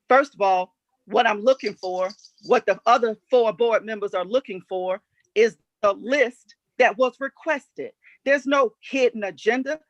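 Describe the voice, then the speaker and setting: A woman's voice: a little high, no room sound, muffled recording. Blunt, insistent woman, dry close sound. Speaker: board member at a public meeting. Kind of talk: formal meeting debate.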